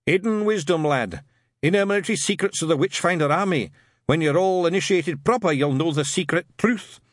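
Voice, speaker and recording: A man voicing a gruff, paranoid old witchfinder sergeant, barking and conspiratorial. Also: a little high, brisk, dry recording, clean studio sound, voice pushed up, close to an everyday voice. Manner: barking, conspiratorial, bigoted grumbling. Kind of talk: audiobook character voice.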